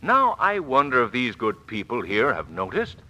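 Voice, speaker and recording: Confident gentleman sleuth, very animated, bright voice, theatrical vintage radio style, faint hiss. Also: medium pitch, normal speed, exaggerated voice, low-quality recording. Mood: wry, amused. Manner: urbane, theatrical, polite irony. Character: suave, wry, confident gentleman amateur detective. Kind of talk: old-time radio drama acting. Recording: hissy vintage radio drama recording.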